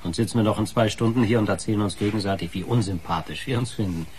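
An actor playing a pushy, wry newspaper reporter in naturalistic radio crime drama dialogue, probing and casual. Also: male voice, on the low side, fast talker, a little mellow, slight background noise, lightly acted. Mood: wry, needling. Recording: radio play with background ambience.

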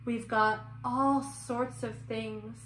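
A young woman reading and discussing a picture book for small children, in an expressive, questioning teacher's manner. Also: on the high side, extremely slow, slight background noise. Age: young adult. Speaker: children's story-time teacher. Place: room at home, indoors. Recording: roomy echo, music underneath.

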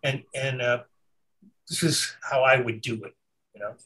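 Male musician guest, off-mic, halting casual talk. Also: medium pitch, fairly bright tone. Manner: halting, self-correcting storyteller. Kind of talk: casual interview chat. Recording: distant from the mic.

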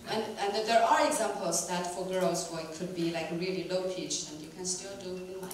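Female moderator and interpreter, halting and full of ums, distant and echoey. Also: lowish voice, fairly even intonation, a little hiss or hum. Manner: hesitant, lots of ums while translating. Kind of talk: live interpreting at a talk. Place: lecture room with an audience. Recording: echoey room, distant mic.

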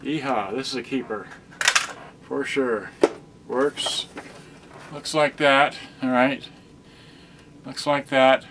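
A man's voice: medium pitch, steady tone, slow, pauses often, background noise. An older man giving a casual, offhand, rambling product demonstration as he handles gear. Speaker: product reviewer. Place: indoor carpeted room. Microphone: camera a few feet away. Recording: distant camera microphone, loud radio music. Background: music from a cassette radio.

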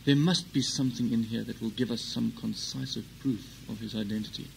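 A man's voice: mid-range voice, steady tone, brisk, creaky, a little hiss or hum, low-quality recording. A man acting a fussy, cautious and suspicious brother in a comic audio drama.

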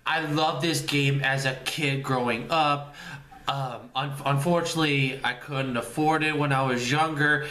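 A man's voice: mid-range voice, sharp tone. A man of thirty talking casually to camera as the host of his channel, rambling and repeating himself in an upbeat, goofy way. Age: 30s. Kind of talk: casual vlog talk to camera. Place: small room indoors. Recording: echoey room, faint room noise.

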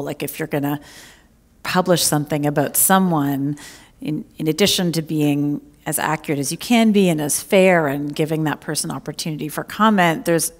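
A middle-aged woman journalist interviewing an author on stage, asking measured, attentive questions. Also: deep voice. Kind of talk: onstage interview. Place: library event stage, large hall. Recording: hall reverb from a large room.

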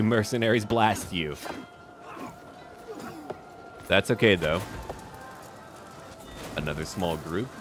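Male gameplay host, low voice that moves around freely, chatting to himself and in-game characters over music. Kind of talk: casual playthrough commentary on a strategy game.

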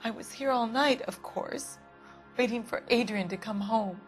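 Actress as anxious, prim American wife, music behind. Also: female voice, a little high, normal speed, close to an everyday voice. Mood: anxious, defensive. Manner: defensive, easily affronted. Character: prim, anxious, indignant wife of a missing man. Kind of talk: dramatic game cutscene acting.

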